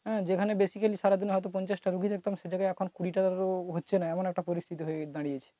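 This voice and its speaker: A man's voice: high voice, level tone, very fast, warm tone, a little hiss or hum. A man with a West Bengal accent giving interview remarks as a health worker. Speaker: health worker seeing patients.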